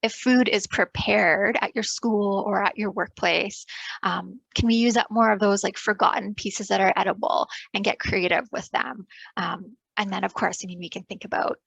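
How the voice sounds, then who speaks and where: A woman's voice: mid-range voice, fairly even intonation, moderate pace, gravelly, fairly bright tone, husky, no room sound, rough recording. A woman giving a casual webinar talk on public health over a video call, loose and full of fillers, often checking in with "right?". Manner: loose, filler-heavy, ends points with "right?".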